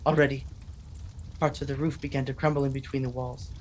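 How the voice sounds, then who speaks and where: A man's voice: medium pitch, flat delivery, a little fast, darkish tone, close to an everyday voice. An actor playing a desperate, obsessed husband who narrates his horror tale in an intense, melodramatic 1940s radio style. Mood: awed, fevered.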